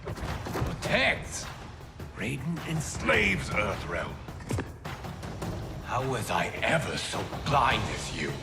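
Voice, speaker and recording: An actor playing a bitter, corrupted undead warrior in a video game, theatrical and menacing. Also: male voice, medium pitch, huge pitch swings, extremely slow, creaky, pauses often, heavily acted. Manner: sneering, theatrical. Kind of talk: video game cutscene acting. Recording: music behind.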